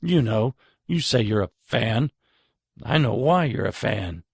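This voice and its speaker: An actor playing a seedy, suspicious older man in an audio drama, gravelly and contemptuous. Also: fairly low pitch, animated, measured pace, no room sound, studio quality, full character voice. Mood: sly, accusing. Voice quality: gravelly, husky. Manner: wary, contemptuous.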